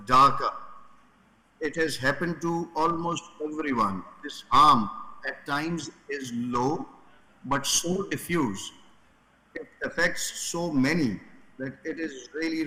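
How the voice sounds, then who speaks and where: A man on a conference panel, talking haltingly with many fillers and restarts, from Pakistan. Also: medium pitch, sharp tone. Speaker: panel speaker. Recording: reverberant conference hall.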